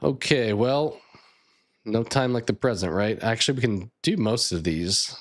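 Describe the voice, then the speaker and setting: Lowish-voiced man chatting while gaming. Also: measured pace. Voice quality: slightly raspy. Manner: thinking aloud, chatty, answers chat. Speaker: streamer. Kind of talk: casual live gameplay chat.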